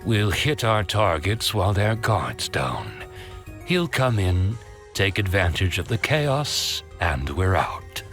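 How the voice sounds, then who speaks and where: A man's voice: low voice, very animated, slow, fairly bright tone, faint music behind, full character voice. A man voicing a sly, calculating octopus infiltrator villain with a controlled, menacing manner, in a cartoon comic dub. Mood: cold scheming. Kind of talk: animated comic dub acting.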